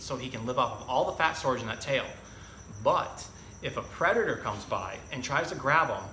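A man in his thirties with a bright, forward voice at an average pitch presents to children in a casual, plain-spoken way. He explains and reads as a zookeeper host would. The room is echoey, with faint noise behind him. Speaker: zookeeper presenting an animal storytime. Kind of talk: casual educational talk and picture-book reading for children. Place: indoor room with hard walls and tiled floor.

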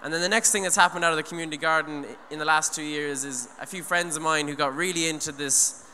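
Earnest young man on a bright stage mic. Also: on the high side, steady tone, quick pace. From Ireland. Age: young adult. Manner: earnest, rambling, many restarts and "you know". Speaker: speaker at an eco event. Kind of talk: talk on stage. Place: large hall, on stage. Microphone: handheld mic. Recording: long hall reverb, faint noise.

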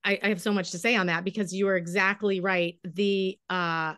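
A woman's voice: medium pitch, fairly even intonation, normal speed, a bit bright, dry recording, studio quality. A middle-aged woman, an author guest on a video call from her home library, speaking emphatically with folksy words and restarts. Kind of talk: animated interview answers.

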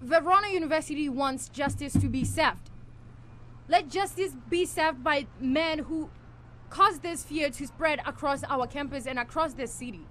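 A woman's voice: high voice, moderate pace, very noisy, close to an everyday voice. A woman acting an earnest, lovestruck young male student in a live amateur radio play. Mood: rousing. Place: small college radio studio.

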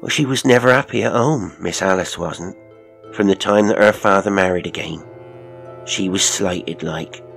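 A man's voice: sits low, moderate pace, gravelly, a bit bright, faint music behind. A man voicing a gaunt servant woman in an audiobook, deferential and plain-spoken, with rustic turns of phrase. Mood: earnest, knowing. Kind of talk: audiobook character acting.

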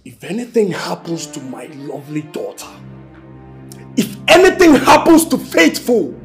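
A man acting as a husband and father who pleads with his wife and then confronts her loudly. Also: high voice, wildly swooping pitch, a little slow, soft background music, exaggerated voice. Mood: furious. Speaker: actor.

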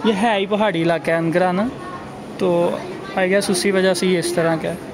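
A man's voice: high voice, steady tone, measured pace. A man giving casual, chatty commentary while walking through an outdoor market, musing aloud as he goes. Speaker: travel vlogger. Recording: busy crowd noise behind.

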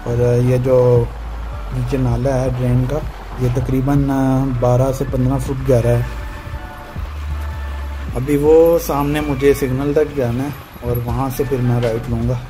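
A man narrates a casual ride vlog over clear background music. His pitch is middling and stays very even as he points out the sights along the road. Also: unhurried, poor audio. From Pakistan. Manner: points out passing sights to viewers. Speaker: motorbike vlogger. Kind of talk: casual vlog commentary while riding. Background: music, vehicle sound.

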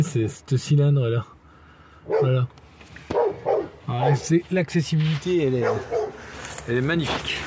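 Casual young Frenchman vlogging, noisy recording. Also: mid-range voice. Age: young adult. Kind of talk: casual vlog chat. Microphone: phone at arm's length.

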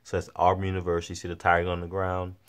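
A man's voice: low-pitched, completely flat delivery, rapid-fire, smooth. A middle-aged man walking through his home with a phone, chatting upbeat about paintings for sale, with many fillers and restarts. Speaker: art seller previewing his auction. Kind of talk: casual walkthrough vlog. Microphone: handheld phone camera, walking around.

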